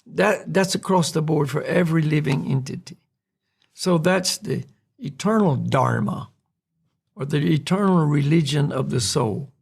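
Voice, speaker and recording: An American man from North Carolina, a podcast host calmly explaining spiritual ideas in a reflective, unhurried way. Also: fairly high pitch, gravelly, slightly rough. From North Carolina, USA. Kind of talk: spiritual discussion.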